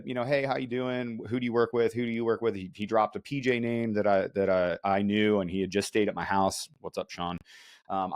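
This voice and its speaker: A man's voice: sits low, no room sound, clean studio sound. An American man co-hosting a podcast, telling stories casually in a blunt, emphatic, profane way. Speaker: podcast co-host, military special operations veteran. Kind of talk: casual podcast chat, storytelling.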